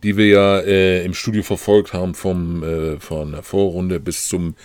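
Deep-voiced man reminiscing. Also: little pitch movement, darkish tone, clean tone, dry recording. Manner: reminiscing, drawn-out fillers. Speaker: podcast regular. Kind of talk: casual conversation.

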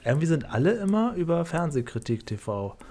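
A man hosting a podcast, asking his guests questions in a quick, chatty way. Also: a little high, sing-song, mellow. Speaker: podcast host. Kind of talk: casual conversation.

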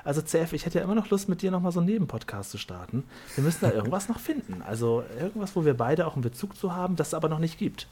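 A man co-hosting a casual podcast chat, telling dating stories in an animated way with frequent laughter. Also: fairly high pitch, racing, few pauses, husky. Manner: animated storyteller, laughs often. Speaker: podcast host.

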